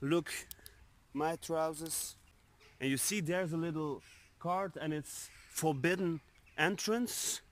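A man's voice: a little high, slow, slight background noise. A man in his twenties chatting casually and slangily to viewers as an urban exploration vlogger, recorded outdoors on a phone held at arm's length. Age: 20s. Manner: slangy, addresses viewers as bros. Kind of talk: casual vlog chat to camera. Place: outdoors in woods by an abandoned building. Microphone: phone on selfie stick at arm's length.

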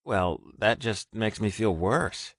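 A man's voice: on the low side, slow pace, no echo, clean studio sound. Man putting on a fretful, pouty young man. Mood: guilty. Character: small anxious educated young man. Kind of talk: audiobook character reading.

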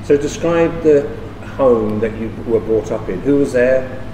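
A man's voice: mid-range voice, a little slow. A man interviewing a guest in a café, asking conversational questions from off camera. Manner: prompting, conversational. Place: café indoors. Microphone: off-camera, away from the mic. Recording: distant, echoey room with background noise.

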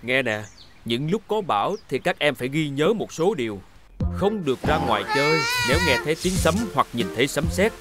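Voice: mid-range voice, big pitch swings, a little fast, over loud music, close to an everyday voice. An actor playing a caring, protective rescue robot hero in a children's cartoon dub, explaining things earnestly like an older brother. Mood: earnest, instructive. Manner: earnest, instructive.

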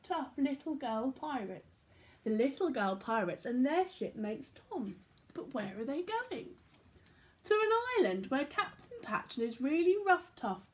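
A young woman with a high, very lively voice tells a children's story. She takes her time, moving from hushed phrasing to excited emphasis as a storyteller would. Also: poor audio. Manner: expressive, dropping into hushed whispers and rising to excited delivery. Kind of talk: animated picture-book read-aloud. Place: small domestic room indoors.

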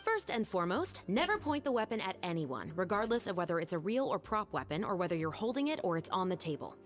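An actress voicing a cold, dignified female musketeer who gives calm, firm instructions. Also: mid-range voice, fast talker, soft background music, close to an everyday voice. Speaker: weapons instructor in a game cutscene.

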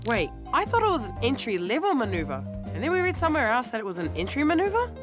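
Excited kiwi woman, high voice jumping around, music under. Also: quick pace.

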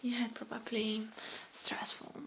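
A young woman whispers breathily and soothingly, with pauses and soft hums, close to the microphone in an ASMR roleplay as a massage therapist. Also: fairly high pitch, monotone, gravelly, a little hiss or hum, rough recording. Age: young adult. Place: small indoor room.

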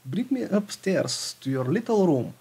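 A man's voice: sits high, very animated, measured pace, dark tone, husky, voice pushed up, exaggerated voice. A man voicing a persistent, pleading frog in a storybook tale, with quacking interjections. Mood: demanding.

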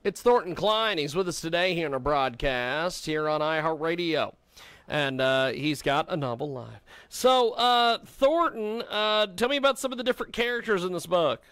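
A man's voice: a little high, expressive, normal speed, fairly bright tone, smooth, no room sound. A male radio host in a small home studio with a headset, upbeat and plugging his guest. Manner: upbeat, pushy plugs. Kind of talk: radio interview hosting. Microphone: headset and desk mic.

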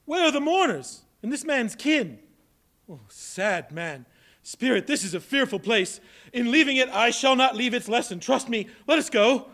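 An actor on stage playing old Scrooge, anguished and pleading with a spirit, in a large hall. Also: male voice, very high-pitched, expressive, normal speed, fairly bright tone, full character voice. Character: Scrooge, old miser now fearful and pleading. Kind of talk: live stage play reading. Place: large hall, live audience. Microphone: far camera.

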